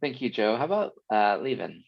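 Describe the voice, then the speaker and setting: A man's voice: lowish voice, very animated, gravelly, no room sound. A man hosting an online leadership session, calling on people by name with a friendly, apologetic manner. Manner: friendly, apologetic, quick asides. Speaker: host of an online workshop. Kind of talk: facilitating a video call. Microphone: video call. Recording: dull lo-fi call audio.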